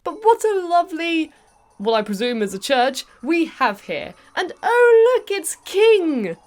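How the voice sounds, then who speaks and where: A woman's voice: very high voice, very animated, measured pace, a little mellow. A woman with a British accent giving a playful, sarcastic video game review, speaking directly to viewers as host. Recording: background music under voice. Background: music.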